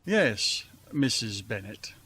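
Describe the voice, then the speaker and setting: A man's voice: fairly low pitch, sing-song, sharp tone, breathy edge, dry recording, full character voice. A man playing a wry, long-suffering middle-aged father in a radio play, dry and droll. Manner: droll, unhurried.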